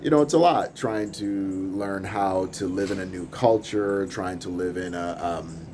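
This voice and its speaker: Low-voiced man rambling casually on camera. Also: unhurried, background noise. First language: English. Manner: rambling, relaxed, laughs between thoughts. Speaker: podcast host and music producer. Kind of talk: casual off-the-cuff vlog. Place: apartment in a big city, filming out over rooftops.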